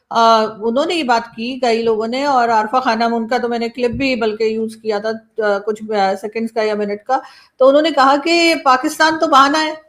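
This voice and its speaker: A woman with a high-pitched voice and a Pakistani accent hosts a conversational interview. Her delivery is casual and chatty, circling around a point with roundabout phrasing. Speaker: journalist and show host.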